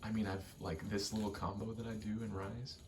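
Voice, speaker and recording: Hushed, very low-voiced man rambling softly. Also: level tone, slight background noise. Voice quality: soft, hushed, often slipping into whisper. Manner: halting, rambling, thinking aloud in short pieces. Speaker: ASMR creator, gamer; actor and stage combatant. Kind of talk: ASMR ramble over video game play, casual.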